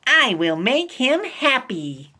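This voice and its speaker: Woman voicing an eager, high-pitched little storybook pig. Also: sing-song, slow, pushed and bright, slightly rough, heavily acted. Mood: earnest, caring. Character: eager, caring young female pig, a devoted friend. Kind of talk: children's picture book read-aloud.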